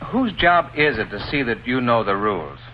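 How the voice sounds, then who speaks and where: A man playing an earnest school authority who explains rules in a deliberate, didactic way, like a 1950s instructional film. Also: medium pitch, sing-song, natural voice. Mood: patient instructing. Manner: didactic, deliberate, asks leading rhetorical questions. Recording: dull, noisy old 1950s film soundtrack.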